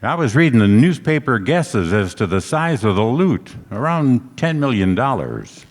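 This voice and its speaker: A man's voice: medium pitch, sing-song, moderate pace, natural voice. A man playing a tough hardboiled private detective, narrating his case in 1940s radio play style. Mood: grim, matter-of-fact. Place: on stage in a hall. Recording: noisy echoey hall, live stage performance.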